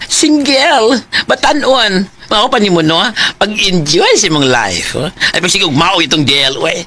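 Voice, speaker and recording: A man's voice: high-pitched, lively intonation, unhurried, sharp tone, exaggerated voice. A man playing a flamboyant, bubbly, teasing friend and matchmaker in a Cebuano radio drama, with exclamatory theatrical delivery. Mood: teasing pep talk.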